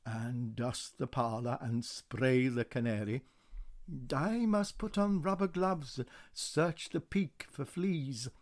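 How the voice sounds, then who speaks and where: A man's voice: medium pitch, slow, lightly acted. A man voicing meek, henpecked ghostly husbands who recite dutifully by rote in a radio play. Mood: resigned. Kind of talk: radio play verse drama.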